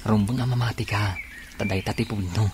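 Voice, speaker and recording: A man's voice: lowish voice, fairly even intonation, brisk, background noise, lightly acted. A man playing an earnest, lovesick young suitor in an Ilocano radio drama. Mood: pleading, ardent.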